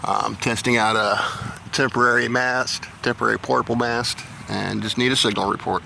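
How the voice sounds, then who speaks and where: A man's voice: mid-range voice, fairly even intonation, normal speed, vocal fry, noisy background. A man doing casual amateur radio test calls and narration outdoors. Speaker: amateur radio operator and vlogger. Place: outdoors in a local park.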